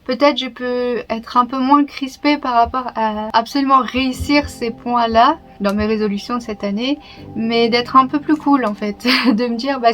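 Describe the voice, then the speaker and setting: A young woman with a fairly high voice speaks straight to camera in a relaxed personal vlog. She talks in a reflective, candid way, pausing on small fillers and breaking into light laughs. Background music plays clearly underneath her. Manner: reflective, open, with soft laughs and hesitations. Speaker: YouTuber. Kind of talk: casual personal vlog talk.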